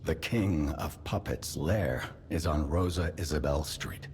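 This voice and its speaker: A grave, extremely deep patron. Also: male voice, darkish tone, a little hiss or hum. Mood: solemn. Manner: measured, solemn. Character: grave older male patron giving orders.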